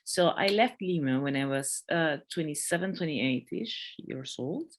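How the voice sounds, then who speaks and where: A woman in her thirties from Peru, speaking English with a thick Peruvian Spanish accent in a relaxed interview chat, laughing often and restarting her sentences. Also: low-pitched, a little slow. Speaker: podcast guest.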